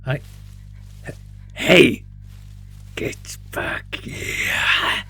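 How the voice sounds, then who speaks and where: A man's voice: sharp tone, faint music behind. A man playing an ancient, predatory monster who theatrically taunts human prey in a horror audio roleplay. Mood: puzzled, startled. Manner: theatrical, savoring, taunting, drawn-out phrasing.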